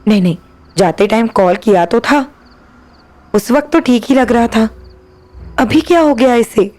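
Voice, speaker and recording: A woman's voice: fairly high pitch, a little slow, darkish tone, faint music behind, close to an everyday voice. A woman playing an anxious young wife. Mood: worried. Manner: worried, pleading. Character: young married woman, anxious about her lover.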